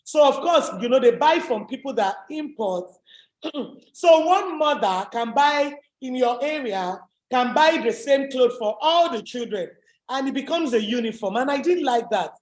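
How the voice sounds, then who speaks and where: A woman with a deep voice, giving an emphatic, motivational webinar talk as a business coach. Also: lively intonation, normal speed. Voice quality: deep, low voice. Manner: emphatic, repetitive, rallying. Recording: echoey room.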